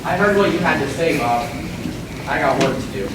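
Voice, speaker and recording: A reserved working father acted by a man answers tersely in a slightly high voice. The stage recording is distant and very echoey. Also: animated, moderate pace, noisy background, natural voice. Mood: weary, firm. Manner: terse, plain-spoken. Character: steady, reserved working family man, quietly firm. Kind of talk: stage play acting.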